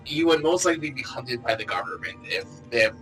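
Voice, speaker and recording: Bright-voiced man in casual chat. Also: mid-range voice, brisk, faint music behind, poor audio. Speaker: conversation partner.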